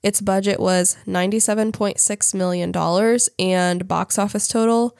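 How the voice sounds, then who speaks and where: A woman's voice: medium pitch, fairly even intonation, normal speed, creaky, darkish tone, no echo, studio quality. A woman co-hosting a casual podcast, congested from a cold, reading out facts with frequent fillers and some hesitation over names. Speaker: podcast co-host.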